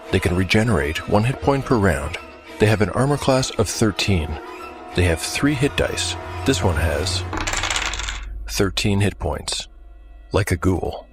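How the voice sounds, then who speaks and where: A man hosting a podcast and narrating a tabletop fantasy game in an audio drama style. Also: sits low.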